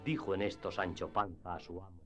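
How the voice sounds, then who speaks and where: A man narrating a literary radio drama in a measured storytelling manner. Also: deep voice, steady tone, fairly bright tone. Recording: slightly dull radio drama with music.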